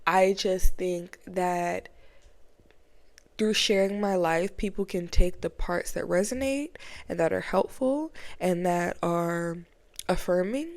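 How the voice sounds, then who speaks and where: A woman's voice: lowish voice, a little mellow, no room sound. A woman talking casually to camera in a sit-down vlog, chatty and rambling, a bit sniffly from a cold. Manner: chatty, rambling, frequent fillers and slang. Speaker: vlogger. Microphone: camera mic, sit-down setup.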